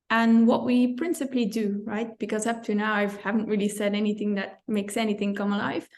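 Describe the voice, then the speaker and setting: A woman from Belgium with a fairly high, gravelly voice, talking as a guest in a relaxed interview. She works through her answers thoughtfully, pausing, correcting herself and laughing lightly. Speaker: compliance director, guest. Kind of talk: conversational interview.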